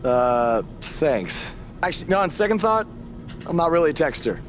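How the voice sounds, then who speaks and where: An actor playing a modest, wry young underdog wrestler in video game dialogue, speaking casually and a little hesitantly. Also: male voice, a little high, sing-song, moderate pace, a bit bright, a little hiss or hum. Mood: awkward, dry. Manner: casual, hesitant conversational delivery.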